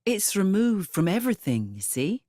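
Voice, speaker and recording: A woman playing a kindly, motherly older village guardian in a video game, gently confiding in a folksy way. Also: mid-range voice, sing-song, normal speed, dry recording, clean studio sound. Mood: wistful. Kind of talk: video game cutscene acting. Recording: music behind the voice. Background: loud instrumental music.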